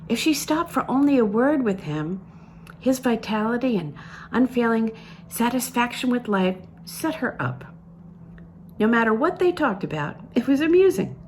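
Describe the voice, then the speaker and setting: Expressive woman reading fiction, very lively pitch. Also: normal speed, a little hiss or hum. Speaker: audiobook reader. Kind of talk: literary fiction read aloud.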